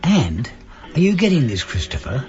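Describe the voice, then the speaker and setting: A slightly dull radio play recording of an elderly Cockney man. His voice has a lively, varied melody and middling pitch. He tells things with cheek and protective tenderness. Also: moderate pace. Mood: chirpy, reminiscing. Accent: Cockney London. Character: devoted, protective, cheeky old Cockney husband. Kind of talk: naturalistic radio drama acting.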